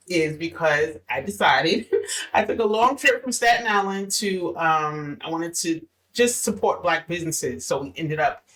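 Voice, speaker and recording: A middle-aged woman hosts a relaxed podcast chat, her voice bright and forward. She talks loosely, laughs easily and often circles back to restart a thought. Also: deep voice. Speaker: podcast host. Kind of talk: casual interview chat.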